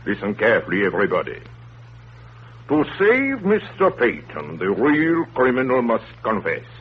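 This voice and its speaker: An actor playing a courteous, commanding, self-important detective in 1930s radio drama, with pompous, formal, deliberate delivery. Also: male voice, medium pitch, huge pitch swings, slightly rough. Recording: tinny, noisy, humming 1930s radio broadcast.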